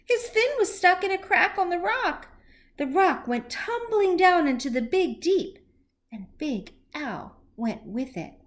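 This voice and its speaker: Woman, high voice, unhurried pace, expressive storybook read-aloud, teacherly, aimed at small children. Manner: animated, slow, deliberate, with questions to young listeners. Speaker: teacher reading a picture book to children.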